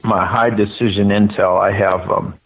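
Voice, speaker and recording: Older American male, event spokesperson in interview, creaky delivery, earnest and hesitant, narrow telephone sound. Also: lowish voice, flat delivery. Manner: halting, earnest. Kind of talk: live phone interview on a radio show. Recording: tinny narrow phone line.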